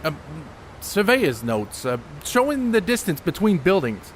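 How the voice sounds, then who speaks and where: A scholarly, guarded ballplayer acted by a man in a period stage drama. He speaks high and expressively, in a precise, reproving way with some hesitation. Noise is audible on the recording. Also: big pitch swings, measured pace. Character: educated, fussy, exasperated male ballplayer scholar, secretive. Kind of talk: stage play acting, period dialogue.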